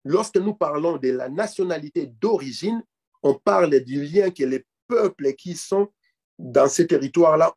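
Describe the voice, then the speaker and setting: A man's voice: fairly high pitch, a little mellow, slightly rough. A man in his forties, a political activist on a video call, arguing in an emphatic, declamatory way and repeating phrases for emphasis. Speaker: activist guest. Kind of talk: impassioned political argument over video call. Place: indoor room.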